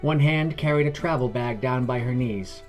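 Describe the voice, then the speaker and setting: A man's voice: mid-range voice, moderate pace, darkish tone, faint music behind. A man reading scripted fantasy narration as a storyteller.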